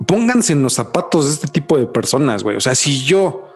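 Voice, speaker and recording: A young man with a Mexican accent chats loosely and jokes, peppering his talk with slang. His voice is a little high. Soft music plays underneath. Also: big pitch swings, fairly quick, breathy edge. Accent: Mexican Spanish. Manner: slangy, joking, says "güey" often. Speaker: podcast co-host. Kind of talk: casual chat.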